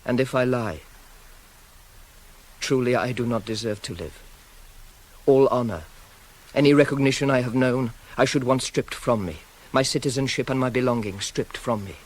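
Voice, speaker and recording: An actor playing a proud, self-controlled young prince wronged by his father, in a formal, measured, declamatory classical tragedy style for radio. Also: medium pitch, lightly acted. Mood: solemn, pleading.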